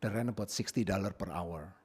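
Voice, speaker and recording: A man originally from Indonesia presenting his business plan at a public hearing, speaking plainly with frequent pauses and fillers into a podium microphone in a council chamber. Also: deep voice, a little mellow, husky.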